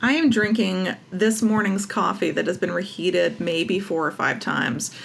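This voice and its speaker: A woman's voice: fairly low pitch, a little slow, vocal fry, a little hiss or hum. A woman chatting casually as an interview guest, with a wry, self-deprecating way of talking. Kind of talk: casual chat.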